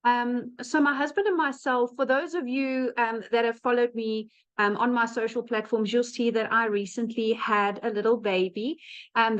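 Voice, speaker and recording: A South African woman speaks in a fairly high voice over a muffled, lo-fi video call. She tells her story in a chatty, conversational way, with plenty of "um" fillers. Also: clear, resonant tone. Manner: chatty, frequent "um" fillers, laughs between phrases. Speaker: guest speaker. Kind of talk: webinar testimonial. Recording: muffled lo-fi online call audio.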